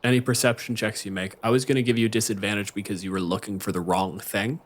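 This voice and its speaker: A man's voice: fairly low pitch, steady tone, fast talker. A man speaking as game master, running a tabletop roleplaying game in conversational narration.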